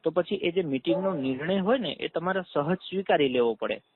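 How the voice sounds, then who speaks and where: A tinny telephone recording of a man with a Saurashtra Gujarati accent. He talks quickly in a slightly high voice. He explains insistently, point by point, posing questions and answering them himself. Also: animated, slightly rough. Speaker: community trust trustee. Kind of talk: explanatory phone conversation. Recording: tinny, narrow phone call recording.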